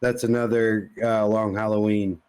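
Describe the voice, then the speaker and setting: A man's voice: on the low side, little pitch movement, moderate pace, darkish tone, clean tone. A man chatting informally as a podcast co-host, animatedly retelling dialogue, with casual swearing. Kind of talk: casual pop-culture podcast chat.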